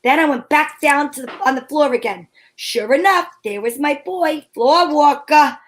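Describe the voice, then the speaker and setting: High-voiced sassy lady detective, acted by a woman. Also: moderate pace, lightly acted. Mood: brisk, confident. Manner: wisecracking, coy, teasing. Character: sassy, flirtatious female private detective narrating her case. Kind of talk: old-time radio detective parody.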